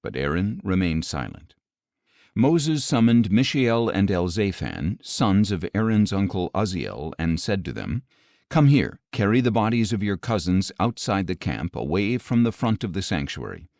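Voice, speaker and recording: A man with a fairly low voice reads scripture as an audiobook narrator. His delivery is solemn and measured, with each phrase set out deliberately. The sound is very dry, with no room around the voice. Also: very animated, a little mellow, no room sound.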